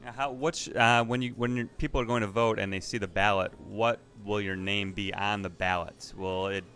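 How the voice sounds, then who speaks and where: A man's voice: fairly low pitch, steady tone, normal speed, fairly bright tone. A man working as a reporter, asking casual interview questions that ramble and restart. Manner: rambling, self-correcting questions.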